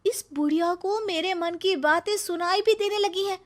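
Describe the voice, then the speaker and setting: A woman's voice: very high-pitched, normal speed, very noisy, pitched above the performer's own voice. A woman voicing a young daughter-in-law who complains about her mother-in-law and turns sweet when she wants something. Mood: annoyed. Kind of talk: cartoon story voice acting.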